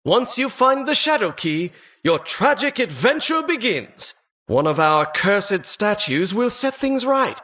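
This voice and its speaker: High-pitched, pompous sardonic dungeon host. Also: male voice, big pitch swings, measured pace, bright, forceful tone, full character voice. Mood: gleefully sardonic. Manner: pompous, mock-polite, arch formal phrasing. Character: sardonic, pompous talking dungeon, butler-like male guild host. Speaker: game announcer. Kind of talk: theatrical video game voice-over.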